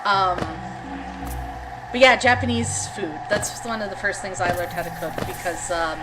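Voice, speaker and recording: A woman's voice: mid-range voice, moderate pace, rough recording. A woman in her thirties from Canada, chatting casually with her stream viewers in a rambling way, full of fillers. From Canada (Alberta). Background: loud background music.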